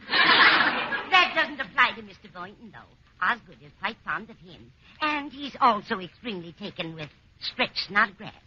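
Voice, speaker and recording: A woman's voice: medium pitch, lively intonation, normal speed, pushed and bright, a faint crowd. An actress playing an unflappable, fondly meddling older landlady who coaxes and reassures in an old radio sitcom. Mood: insistent, cheerful. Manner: reassuring, coaxing. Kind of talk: 1940s-50s radio sitcom acting. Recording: dull, noisy old-time radio recording.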